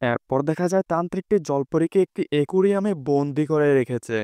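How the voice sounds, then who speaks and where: A man's voice: a little high, expressive, quick pace, mellow, clean tone, no room sound. A man giving a scripted storyteller's narration for a fairy-tale cartoon. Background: prominent music.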